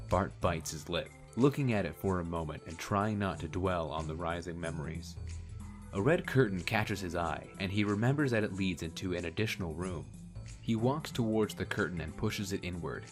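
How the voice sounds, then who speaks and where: A man narrating a fan radio drama in a steady, descriptive way. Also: deep voice. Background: music.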